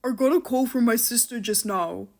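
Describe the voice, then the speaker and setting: A woman's voice: a little high, moderate pace, vocal fry, a little mellow, slight background noise. A woman voicing an unemployed husband who is lazy and defensive, answering in an irritable, dismissive way.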